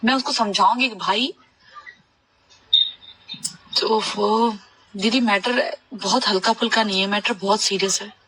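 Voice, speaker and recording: A woman's voice: medium pitch, fairly even intonation, measured pace, long pauses, a bit bright. A woman chatting casually and gossipily on a phone call, insistent and often repeating her phrases. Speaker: caller on a leaked phone conversation. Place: private phone call, recorded.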